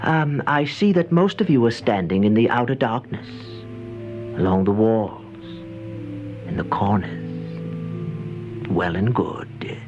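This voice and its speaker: An eerie host gathering listeners for a horror tale. His lowish voice moves very slowly, coaxing and hesitant, rising and sinking in lively swoops. It has the dull sound of an old radio drama. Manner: hesitant, coaxing, hushed asides. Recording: dull vintage radio recording, music behind.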